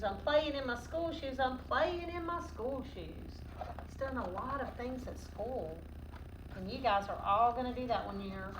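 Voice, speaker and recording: A woman's voice: on the high side, fairly bright tone. A woman teacher doing a children's read-aloud lesson in an instructive, questioning, sing-song manner. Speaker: teacher reading a picture book aloud to children. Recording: roomy, distant mic, noticeable background noise.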